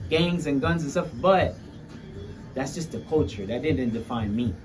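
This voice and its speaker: A man's voice: medium pitch, expressive, normal speed, recorded from a distance, over music. A man from Puerto Rico telling his story as a musician in a casual, candid interview, using street slang and talking straight to the audience. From Puerto Rico, later urban Florida.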